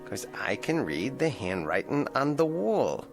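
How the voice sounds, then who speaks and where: A man voicing a sour, wisecracking male ventriloquist dummy who needles his partner in a gruff, sarcastic way. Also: medium pitch, very animated, a little slow, music in the background.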